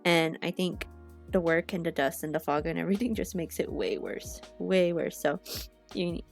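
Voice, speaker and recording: A young woman chats casually into a headset mic at home, her voice low-set with a gravelly edge. She sounds a little sniffly from allergies and rambles with plenty of filler words. Faint music plays underneath. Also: expressive, moderate pace. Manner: chatty, rambling, loses her train of thought with many fillers. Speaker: art streamer. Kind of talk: casual rambling livestream chat.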